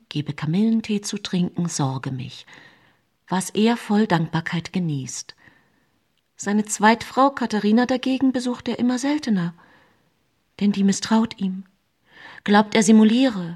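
An actress playing a scheming woman poisoner with a husky voice, narrating her own story in a radio play. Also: mid-range voice, a little mellow. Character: scheming woman poisoner, outwardly caring, coldly calculating. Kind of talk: dramatic first-person radio play narration.

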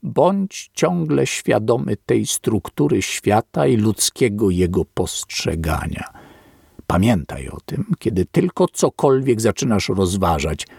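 Low gravelly man reading slowly. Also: big pitch swings, a little mellow, dry recording, studio quality. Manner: slow, weighty and deliberate, like a sermon. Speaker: narrator. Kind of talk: solemn audiobook reading of a philosophical text.

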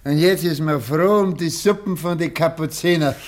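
A man's voice: on the high side, normal speed, lightly acted. A man playing a brash, scheming ex-convict tramp with a Bavarian accent in a German radio drama, sly and sardonic. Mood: sardonic boasting.

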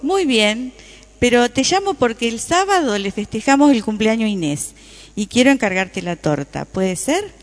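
A woman's voice: on the high side, sing-song, normal speed. Reverberant, friendly Argentine woman played by an actress. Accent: Argentine Rioplatense. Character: friendly, appreciative woman customer chatting with a friend. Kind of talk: radio play acting. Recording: reverberant room with long echo.

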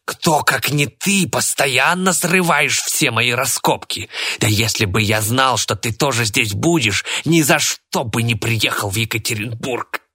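A man voicing a cantankerous, sickly old man in an audiobook, spiteful and indignant, with a raspy, screechy voice. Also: very animated, a little slow, a bit bright, heavily acted. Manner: shrill indignant outbursts.

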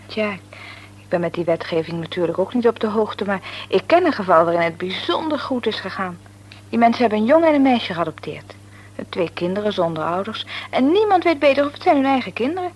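A woman's voice: mid-range voice, very animated, a little fast, a little mellow, slightly rough, poor audio. An actress playing a chatty, frank, opinionated woman in a Dutch radio play, with an emphatic, reassuring manner. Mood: chatty, earnest. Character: Maartje, chatty, frank, sociable sister-in-law and family friend. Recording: radio drama recording.

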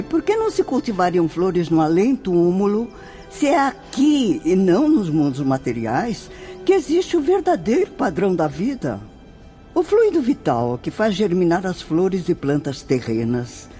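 Solemn, expressive Brazilian nun spirit guide. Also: female voice, fairly low pitch. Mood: ardent wonder. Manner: solemn, declamatory, tenderly instructive. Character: venerable, devout nun guiding spirits in the afterlife. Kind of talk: Brazilian radio drama acting.

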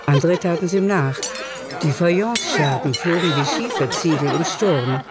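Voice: mid-range voice, animated, moderate pace. Literary radio narrator over music.

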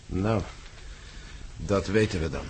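An uneasy captive sidekick in a radio drama. His fairly low voice is hesitant and dryly resigned, with clear noise in the recording. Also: takes it slowly. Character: wry, uneasy male fellow captive. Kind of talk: radio play acting. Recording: noisy radio play recording.

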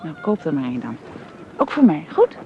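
An actress playing a caring, devoted woman who minds a child in a radio drama, with a gentle, encouraging manner. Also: deep voice, very animated, normal speed, a crowd in the background. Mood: affectionate reading. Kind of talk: naturalistic radio drama acting. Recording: muffled, noisy old radio recording.

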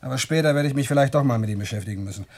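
A man's voice: mid-range voice, very fast, a little mellow, lightly acted. An actor playing a touchy, menacing gunman who is deferential to his boss, with curt delivery in a 1960s German radio play.

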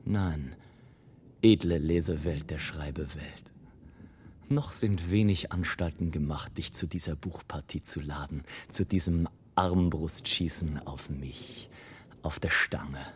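An actor playing an irascible, sardonic airship adventurer in a German radio play, declaiming with bitter irony. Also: male voice, very deep voice, slow pace, husky, full character voice. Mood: mocking, confiding.

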